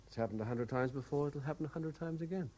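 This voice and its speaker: An actor playing a troubled, guilt-ridden investigator in a 1970s radio drama, with a brooding, hesitant manner. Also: male voice, fairly high pitch, quick pace, dark tone, lightly acted. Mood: guarded. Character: troubled, haunted male French private investigator, guilt-ridden and weary. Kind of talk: 1970s radio drama acting, with narrated diary passages.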